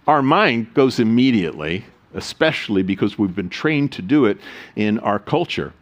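A man preaching a sermon as pastor, reading scripture solemnly and adding joking, animated asides. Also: fairly low pitch, normal speed, dull audio.

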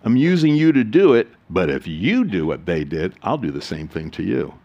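Man, pastor, sermon, lively pitch, scripture reading, joking asides. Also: moderate pace, muffled recording. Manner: animated, mixes solemn reading with joking asides.

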